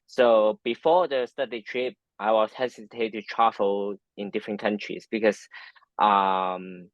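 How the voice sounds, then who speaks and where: A man's voice: on the low side, steady tone, a little slow, no room sound. Hesitant Hong Kong man, dull dry sound. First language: Cantonese. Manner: hesitant, many fillers and restarts. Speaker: guest. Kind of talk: casual interview answers.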